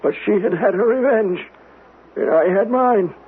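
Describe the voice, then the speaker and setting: An actor playing a vengeful, devout man over ninety in a radio mystery drama, with a solemn, deliberate, fervent delivery. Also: sits high. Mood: solemn insistence. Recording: noisy, tinny old radio broadcast recording.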